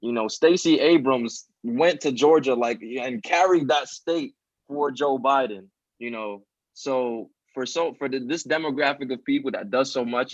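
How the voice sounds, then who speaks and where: An earnest young man of twenty talks openly in a relaxed interview, filling his speech with "you know" and "um". His voice sits at an ordinary pitch, and the recording is dry and dull, with no room around it and the highs rolled off. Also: no room sound. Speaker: podcast guest, student nonprofit founder. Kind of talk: casual conversational interview.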